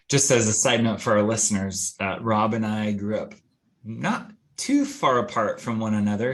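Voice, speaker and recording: A man's voice: on the low side, a bit bright. Man hosting a podcast interview. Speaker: podcast host. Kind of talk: casual interview.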